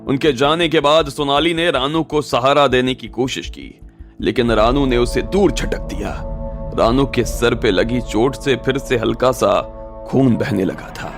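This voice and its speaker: A man's voice: medium pitch, unhurried, gravelly. A man narrating a scripted audio story in a dramatic storytelling style. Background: faint music.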